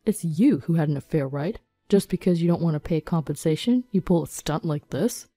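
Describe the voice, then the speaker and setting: A woman's voice: lowish voice, normal speed, dark tone, husky, faint music behind. A woman voicing a desperate, indignant cheating husband in an agitated, pleading way for a dramatic story.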